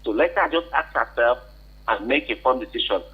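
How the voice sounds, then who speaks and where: Male radio caller, Nigerian accent, husky, higher-pitched, insistent advice-giver, narrow telephone sound. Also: animated, a little fast. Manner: insistent, questioning, repeats points for emphasis. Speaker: call-in contributor. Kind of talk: unscripted advice on air. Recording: muffled, tinny phone line.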